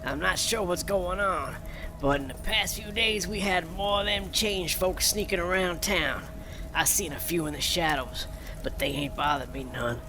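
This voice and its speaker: Woman voicing a gravelly, ragged old man. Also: on the low side, normal speed, noisy background, lightly acted. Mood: wary, grumbling. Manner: rustic colloquial drawl with dropped grammar. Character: ragged older homeless man, wary, folksy.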